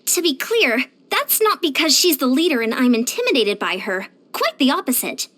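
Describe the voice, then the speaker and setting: A woman voicing a short, determined, energetic young female fitness coach in a video game, earnest and plainspoken. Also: sits high, normal speed, full character voice. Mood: warm admiration. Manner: earnest, plainspoken, chatty, confident. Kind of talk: animated video game character voice lines.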